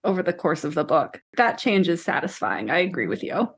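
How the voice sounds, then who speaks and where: A woman co-hosting a podcast, discussing books casually in a measured, questioning way. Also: lowish voice, sing-song, slightly rough. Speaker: podcast co-host.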